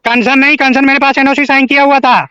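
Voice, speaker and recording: A man with a very high, forceful voice argues insistently on a phone call. He talks fast and pushes over the other speaker. The recording is dull and lo-fi. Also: flat delivery, dry recording. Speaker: disgruntled music client on a phone call. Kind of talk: heated phone argument. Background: another man arguing on the line.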